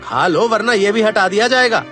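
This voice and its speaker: A callous grown son from a cartoon moral story, acted by a man. The male voice is pitched high and comes out irritable and dismissive. Music plays clearly behind it. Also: lively intonation, normal speed, bright, forceful tone. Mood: irritated. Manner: dismissive, scolding.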